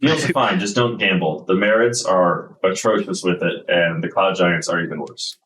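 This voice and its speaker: A man's voice: low voice, moderate pace, a bit bright, recorded from a distance, a little hiss or hum, poor audio. A man narrating a tabletop game as game master, explaining at length with many fillers.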